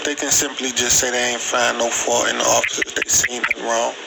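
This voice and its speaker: A man's voice: medium pitch, completely flat delivery, a little fast, vocal fry. A man calling in a complaint by phone, recounting incidents with repetition and emphatic wording. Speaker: caller making a complaint. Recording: noisy, radio-like phone call. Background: loud background noise.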